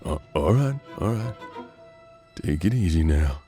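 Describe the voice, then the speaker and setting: An actor playing a weary, gruff bounty hunter in a western audio drama, with a folksy, unhurried, gravelly drawl. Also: male voice, a bass voice, animated, mellow, faint music behind, full character voice. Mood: wary, placating.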